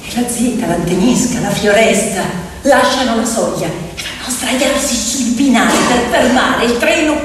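A woman telling a story theatrically, with expressive, dramatic, deliberate phrasing. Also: mid-range voice, recorded from a distance. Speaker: stage storyteller and actress. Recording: echoey hall from afar, faint noise.

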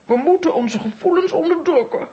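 A woman's voice: very high voice, lively intonation, a little fast, highs cut off. A woman playing a prim, melodramatic aunt in a children's audio drama, theatrical and dignified. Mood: wounded dignity. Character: Tante Sidonia, prim melodramatic grown-up aunt.

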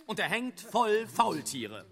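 Zealous pedantic orator, high voice, very animated intonation, declamatory, satirical radio drama. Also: male voice, slow pace, gravelly, heavily acted. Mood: scornful ranting. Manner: declamatory, pedantic, theatrically emphatic. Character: pompous male satirical orator, ranting with zealous bureaucratic pedantry. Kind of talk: German radio play acting, declamatory satirical monologue.

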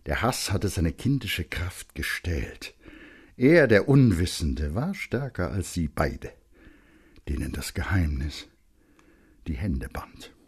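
A man narrating a literary story dramatically and intensely, with pointed pauses and phrases that sink into a hush. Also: sits low, very animated, husky.

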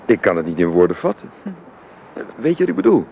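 A man's voice: low-pitched, very animated, a little fast, vocal fry, lo-fi sound, exaggerated voice. A hesitant, wistful father. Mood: wistful, reflective. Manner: hesitant, repeating words as he searches. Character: wistful, affectionate father back from travels. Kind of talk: naturalistic radio drama acting. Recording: noisy radio play recording.

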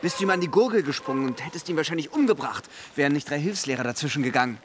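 An actor playing a dreamy, well-off provincial youth and devoted friend in a radio play. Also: male voice, a little high, animated, racing, background noise, close to an everyday voice. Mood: amused, admiring.

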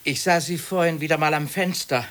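A man's voice: a little high, fairly even intonation, fairly quick, fairly bright tone, close to an everyday voice. A man playing a wary, inquisitive office worker in a naturalistic radio drama, politely probing and a little hesitant.

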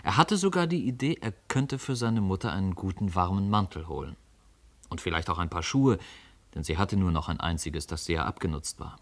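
Male narrator, literary radio play: deep register, scripted storytelling. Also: animated, brisk, fairly bright tone.

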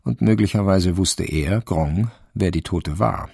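A man narrating a radio drama from a literary script in a measured, wry manner. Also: deep voice, creaky, dark tone, husky, no room sound, clean studio sound.

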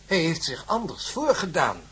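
A man acting in a radio drama as a vain, excitable professor, pompous and easily flustered. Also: a little high, normal speed, crowd noise. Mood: flustered, eager.

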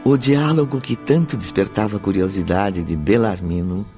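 An actor playing the formal storyteller of a radio drama, reading scripted narration in Brazilian Portuguese. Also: male voice, on the low side, normal speed, mellow, soft background music, natural voice. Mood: measured.

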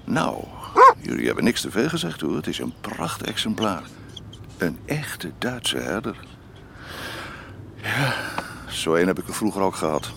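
A man's voice: lowish voice, very animated, a little slow, creaky, pauses often, background noise, full character voice. An actor playing a firm but kindly middle-aged father in a Dutch radio play, reasoning with his children. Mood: kindly, admiring. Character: firm but kindly father, former police-dog owner.

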